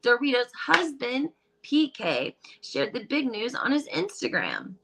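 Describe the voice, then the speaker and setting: A woman hosting a casual gossip vlog, talking in a crisp, bright tone. She is breezy and chatty, reading headlines aloud and filling gaps with ums and likes. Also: on the high side, wildly swooping pitch, a little slow, rough recording. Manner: chatty, breezy, lots of fillers. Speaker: gossip channel host.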